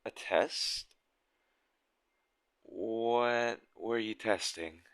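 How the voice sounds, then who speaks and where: A man's voice: lowish voice, a bit bright, dry recording, clean studio sound. A man playing a shy, smitten game developer on a date in a romantic audio roleplay, speaking nervously and hesitantly straight to the listener. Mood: surprised, fond. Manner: awkward, nervous, hesitant and stammering.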